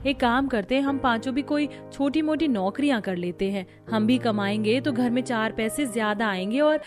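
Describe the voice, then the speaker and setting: Actress as young daughter-in-law, cartoon, expressive, fretful, highish voice, light music. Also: female voice, normal speed, natural voice. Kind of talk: Hindi family cartoon acting.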